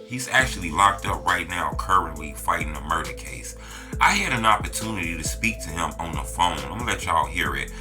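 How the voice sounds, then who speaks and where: A man's voice: low-pitched, animated, brisk. An American man hosting a street-culture interview channel, speaking in casual, conversational slang. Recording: music behind the voice.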